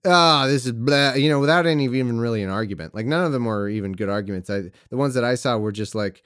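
A male podcast host sounds supportive and rambles as he draws out his guest. His voice sits at a middle pitch. The recording is dry and close. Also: fast talker, a bit bright, dry recording. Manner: supportive, gives frequent backchannel replies, rambling. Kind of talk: podcast conversation.

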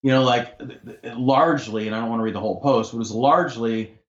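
An assertive man talks with passion in a podcast conversation and swears as he heats up. His voice sits at a middle pitch. The room echoes around him, and the sound is dull and lo-fi. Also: fast. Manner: assertive, impassioned, swears when heated.

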